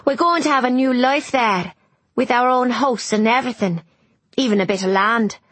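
A woman voicing an excitable working-class boy in an audiobook, blunt and emphatic, with colloquial speech. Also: fairly high pitch, normal speed, no room sound, voice pushed up. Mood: hopeful. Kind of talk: audiobook character dialogue.

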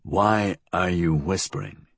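A man's voice: deep voice, slow pace, vocal fry, a bit bright, dry recording, full character voice. A man reading the part of a brusque, impatient male investigator in an audiobook, curt and commanding.

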